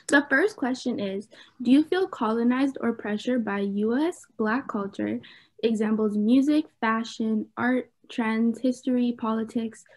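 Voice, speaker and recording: A teenage girl talking through a laptop webcam on a video call. Her voice sits a little high, and she speaks casually with a stream of fillers. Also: unhurried. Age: teens. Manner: chatty, many "like" fillers. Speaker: panel moderator, club co-lead. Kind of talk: casual student panel discussion.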